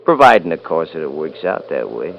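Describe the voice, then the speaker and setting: An actor playing a cocky, bullying young American rancher in a 1950s radio drama, with rustic, taunting talk. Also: male voice, very deep voice, big pitch swings, brisk, creaky, lo-fi sound, heavily acted. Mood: sly, taunting. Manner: rustic drawling grammar, swaggering, taunting. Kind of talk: 1950s radio drama acting and first-person narration. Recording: noisy old 1950s radio recording.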